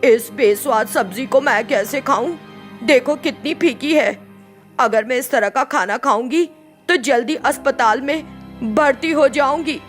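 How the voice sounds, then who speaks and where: A woman's voice: high voice, unhurried, faint music behind. A woman voicing a greedy, harsh older mother-in-law who scolds and accuses. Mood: disgusted, complaining.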